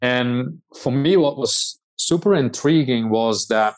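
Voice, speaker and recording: A middle-aged man from the Netherlands with a Dutch accent, a startup founder answering interview questions in a measured way with frequent hesitations. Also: medium pitch, rough recording.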